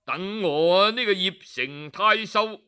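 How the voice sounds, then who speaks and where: A man's voice: high-pitched, slow pace, sharp tone, smooth, full character voice. A man voicing a stern magistrate from ancient times who questions and commands. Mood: commanding.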